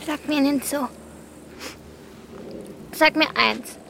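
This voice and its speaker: A woman's voice: high-pitched, very slow, vocal fry, sharp tone, slight background noise, heavily acted. A woman playing an earnest, insistent thirteen-year-old girl in a wartime audio drama. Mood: pleading, insistent. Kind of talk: German audio drama acting.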